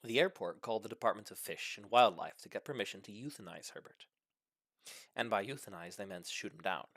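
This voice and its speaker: Animated man reading a comic story. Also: on the low side, fairly quick, dry recording, studio quality. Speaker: story reader. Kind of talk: animated scripted narration of a comic short story.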